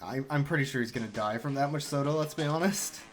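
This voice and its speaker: A man's voice: medium pitch, fast. Laid-back man commenting on a speedrun. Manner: laid-back, rambling, self-mocking. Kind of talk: casual live gameplay commentary.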